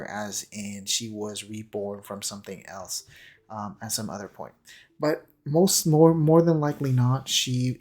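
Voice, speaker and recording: A man giving casual analytical commentary on a video, hesitant and halting as he thinks aloud. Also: fairly low pitch. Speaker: commentator on a game character trailer.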